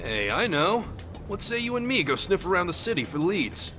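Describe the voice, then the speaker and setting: An actor with an American accent playing a cocky, slangy, grumbling soldier in a video game cutscene. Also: male voice, high-pitched, big pitch swings, normal speed, sharp tone, faint music behind, heavily acted. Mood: eager, scheming. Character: cocky, gruff young male soldier, slangy thrill-seeker.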